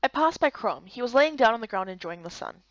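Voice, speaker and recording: Expressive higher-voiced woman reading a story. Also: brisk, no room sound, highs cut off. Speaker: voice-over reader of a visual novel.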